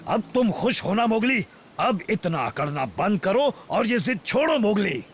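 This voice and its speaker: Fussy fatherly cartoon bear, swooping pitch. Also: very animated, a little slow, a little hiss or hum. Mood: scolding, concerned. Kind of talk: Hindi cartoon dubbing. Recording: music behind.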